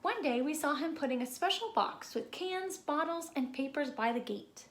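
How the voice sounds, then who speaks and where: A woman reading a picture book aloud to young children in an expressive, deliberate storytelling manner. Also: sits high. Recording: roomy echo with background noise.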